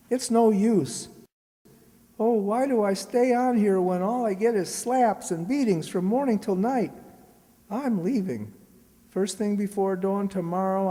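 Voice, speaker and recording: A woman's voice: mid-range voice, dark tone. A woman playing a mistreated young servant boy, earnest and polite, in a children's radio play. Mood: miserable. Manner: earnest, pleading. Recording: hall-like reverberant stage sound.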